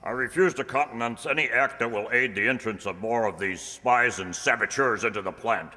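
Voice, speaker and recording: An actor playing a stuffy, suspicious army general with a clipped, pompous manner in a radio play. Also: male voice, mid-range voice, a little fast, bright, forceful tone, natural voice. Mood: indignant. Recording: echoey hall with long reverb.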